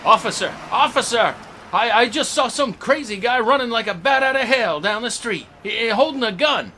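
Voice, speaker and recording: A man's voice: extremely high pitch, expressive, moderate pace, pushed and bright, a little hiss or hum, heavily acted. A man playing an agitated citizen witness urgently alerting police in a dramatized history reenactment. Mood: alarmed. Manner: urgent, stammering.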